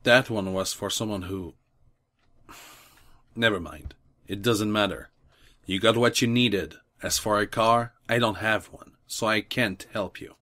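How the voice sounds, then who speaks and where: An actor playing a gloomy, guarded loner gunslinger who speaks in a terse, reluctant way, in an amateur audio drama. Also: male voice, fairly low pitch, fairly even intonation, measured pace, bright, forceful tone. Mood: weary, curt.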